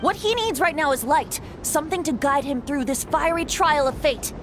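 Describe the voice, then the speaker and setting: A woman's voice: high-pitched, moderate pace, background noise, lightly acted. A woman playing an earnest, mystical young female guide in a video game, speaking with solemn poetic purpose. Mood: urgent hope. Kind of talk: dramatic video game acting.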